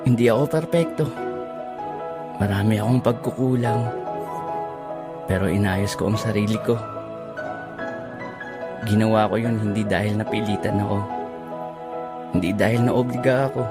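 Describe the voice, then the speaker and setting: A man acting an earnest, devoted young suitor in a romantic audio drama, speaking sincerely and in measured phrases. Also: fairly low pitch, loud music behind the voice, close to an everyday voice. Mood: tender, earnest.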